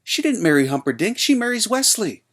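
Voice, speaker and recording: A man's voice: high-pitched, sing-song, a little fast, pitched above the performer's own voice. A pushy ten-year-old boy, as a grown man puts him on, protests in a raised, insistent voice. He argues stubbornly with a storybook-reading father.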